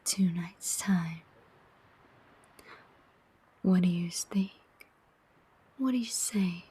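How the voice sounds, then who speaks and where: A woman acts out a sardonic demon collector of souls in an ASMR roleplay. The voice is a very breathy whisper, drawn out very slowly. The demon coaxes and persuades with pointed, deliberate questions. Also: on the low side, darkish tone, exaggerated voice. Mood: coaxing, bargaining.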